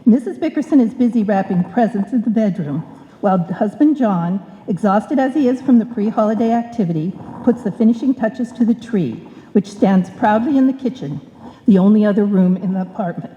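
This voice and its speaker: Mellow woman announcer in a reverberant hall. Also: medium pitch, lively intonation, fairly quick, a little hiss or hum. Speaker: announcer and narrator. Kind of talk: scripted narration for old-time radio show. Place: indoor stage before a live audience. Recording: dull live recording in reverberant hall.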